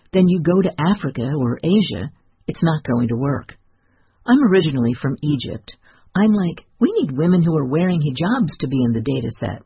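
A man narrating a scripted article read-aloud, including quoted remarks, in a husky voice. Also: on the high side, dry recording, dull audio.

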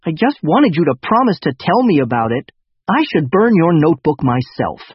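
Guy putting on a fierce young girl voice, high and sharp, storybook reading. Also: wildly swooping pitch, slow, husky, no room sound, muffled recording, full character voice. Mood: accusing. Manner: sharp, demanding.

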